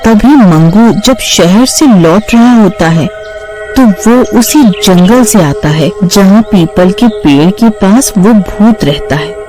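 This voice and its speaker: A woman narrating a scripted children's horror story in a measured storybook manner. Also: medium pitch, lively intonation, warm tone. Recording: music behind the voice.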